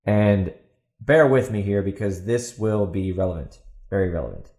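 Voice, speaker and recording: Guy podcast host ranting, kinda deep voice, echoey room, sarcastic and hammering his points. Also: expressive, normal speed, darkish tone. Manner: sarcastic, emphatic, repeats himself for stress. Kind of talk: opinionated rant commentary.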